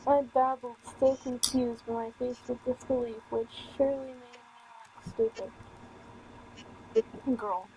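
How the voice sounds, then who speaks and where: A woman's voice: sits high, warm tone, slight background noise, poor audio. A woman reading a visual novel aloud as a streamer, casually, stumbling over words and tossing in irreverent, sweary asides. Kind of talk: casual game let's play, reading story text aloud with offhand comments.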